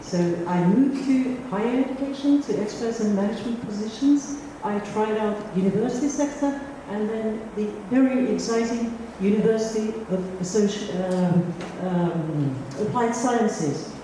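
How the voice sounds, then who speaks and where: A woman's voice: on the high side, moderate pace, hardly any pauses, noisy background. A middle-aged woman from Finland introducing herself on a conference panel, chatty and self-deprecating with many fillers. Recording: echoey hall, distant mic.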